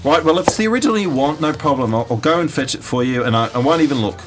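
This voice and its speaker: A man's voice: fairly high pitch, expressive, very fast, over music, natural voice. A man playing an evasive, blustering bookseller in a comedy radio sketch. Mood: flustered, evasive. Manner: blustering, chatty, insistent.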